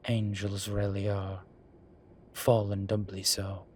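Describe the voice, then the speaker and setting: A man acts out a wry, tired demon professor with a fairly low voice. He speaks in measured, drawn-out phrases, as in an intimate roleplay audio drama. Ambient noise sits behind the voice. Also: monotone, darkish tone. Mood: pensive, grave. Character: weary, wry incubus demon professor, male. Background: ambient sound effects.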